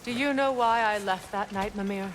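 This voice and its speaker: An actress playing a proud, wounded former queen and sorceress in a cinematic video game, measured and solemn. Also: medium pitch, slight background noise, close to an everyday voice. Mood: grave, pained. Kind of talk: dramatic cinematic game acting.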